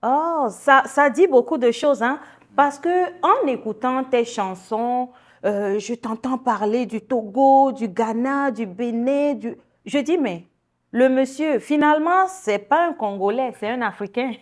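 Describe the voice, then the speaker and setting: A woman's voice: high voice, a little slow. A woman hosting an interview, asking friendly, chatty questions with many fillers. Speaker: show host.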